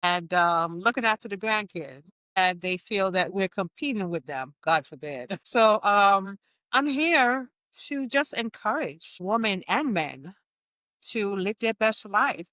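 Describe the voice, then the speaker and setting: A woman's voice: mid-range voice, lively intonation, a little slow, sharp tone, no room sound. A woman, a fitness coach telling her life story as a podcast guest, laughing often. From Jamaica. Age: 60s. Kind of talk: podcast interview.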